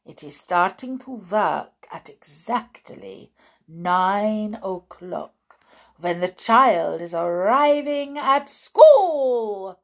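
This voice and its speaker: A woman voicing a domineering old witch villain in a storybook, theatrical and deliberate, in broken English. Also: mid-range voice, very animated, heavily acted. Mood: triumphant. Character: gloating, domineering old Grand High Witch, foreign-sounding villain.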